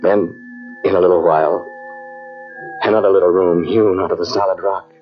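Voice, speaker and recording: An actor playing a haunted scientist in an old-time radio drama, telling of a terrifying ordeal in a dramatic, halting, brooding way. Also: male voice, deep voice, fairly even intonation, close to an everyday voice. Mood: hushed dread. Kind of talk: old-time radio drama acting, first-person narration. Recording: dull old cassette radio recording, music behind.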